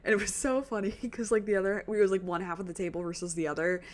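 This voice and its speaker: A woman streamer chatting casually over a game, hoarse from losing her voice, giggly and rambling. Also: medium pitch, steady tone, fast. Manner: giggly, rambling and self-deprecating. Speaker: let's play streamer.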